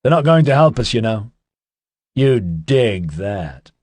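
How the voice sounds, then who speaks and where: A man's voice: fairly low pitch, sing-song, slow pace, slightly rough, no echo, pitched above the performer's own voice, full character voice. Man putting on a brash slangy young dude. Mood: cajoling. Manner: loud, casual, swaggering slang.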